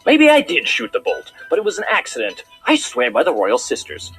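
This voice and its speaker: A man's voice: high-pitched, huge pitch swings, fairly quick, gravelly, fairly bright tone, breathy edge, soft background music, exaggerated voice. A man voicing a scheming cartoon pony royal guard, indignant and dramatic, with formal, insistent speech. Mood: defensive. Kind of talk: fan cartoon voice acting.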